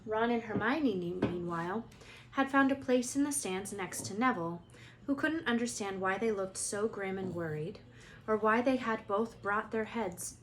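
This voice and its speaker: A woman teacher reading a children's novel aloud in an expressive storytelling style. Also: mid-range voice, a little hiss or hum.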